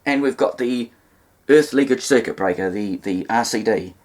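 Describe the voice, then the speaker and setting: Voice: medium pitch, moderate pace, a little mellow. A speaker with a New Zealand accent explains a hands-on demonstration, hesitantly thinking aloud and carefully spelling things out. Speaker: presenter of a vintage radio repair demonstration. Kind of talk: unscripted hands-on demonstration talk. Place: indoors, at a table in a room.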